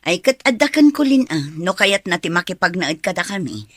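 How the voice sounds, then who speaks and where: An actress playing a grudging, questioning older aunt with a husky voice in an Ilocano radio drama. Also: female voice, lowish voice, big pitch swings, brisk, a bit bright, no room sound, clean studio sound. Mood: wary.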